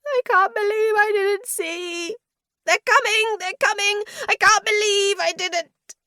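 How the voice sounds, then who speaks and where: A woman's voice: a little slow, sharp tone, dry recording, studio quality, exaggerated voice. A woman doing a shrieking, weeping wounded man. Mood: hysterical. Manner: shrill, hysterical.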